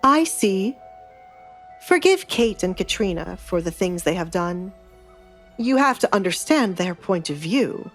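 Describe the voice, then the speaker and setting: A woman's voice: lowish voice, very animated, a little slow, soft background music, heavily acted. Woman doing a stern, curt noble father. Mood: stiff, restrained. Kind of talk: audio drama acting.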